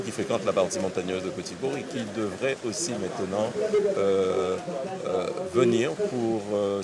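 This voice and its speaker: A man giving an official's interview statement, emphatic, building lists with repeated phrases. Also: low-pitched. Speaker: local elected official. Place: busy public event. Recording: loud crowd chatter behind voice.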